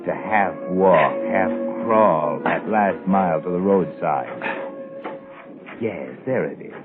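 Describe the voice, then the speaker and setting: An ominous male narrator of 1940s radio suspense, very low-pitched and fatalistic. He speaks with knowing, taunting insinuation. Music plays behind him on a muffled, aged recording.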